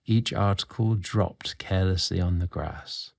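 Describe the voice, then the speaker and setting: A man with a fairly low voice narrates a romantic tale in a dry, close recording. His delivery is theatrical and sensual, shaped like scripted audio storytelling. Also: unhurried, creaky, dry recording. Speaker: guest host and narrator.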